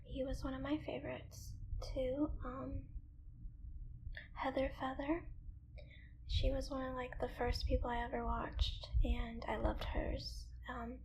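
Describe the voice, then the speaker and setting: A woman delivers gentle ASMR instruction in a breathy, whispery voice. It sits high and crackles with fry, pausing hesitantly between thoughts. Noticeable noise runs behind her. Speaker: ASMR video creator. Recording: clearly audible background noise.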